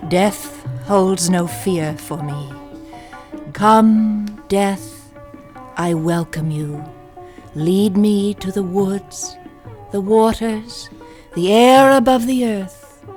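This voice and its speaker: A trusting, spiritual wife in a radio mystery. She speaks very slowly at a medium pitch, earnest and tender, with music in the recording. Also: darkish tone. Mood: solemn, reciting.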